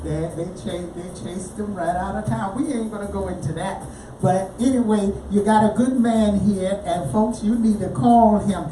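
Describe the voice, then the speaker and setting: A woman hosting a call-in radio show, chatty and loud when she announces. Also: mid-range voice, normal speed, hardly any pauses, slight background noise. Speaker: radio host. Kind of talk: casual radio interview. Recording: echoey room, mic far off, music behind.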